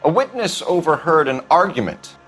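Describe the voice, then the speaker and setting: A man's voice: a little high, big pitch swings, normal speed, a bit bright, faint music behind. A man playing a stern, by-the-book 1940s police detective in a video game, questioning in a clipped, formal, authoritative way. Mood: stern, pressing. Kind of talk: scripted video game dialogue, 1940s crime drama.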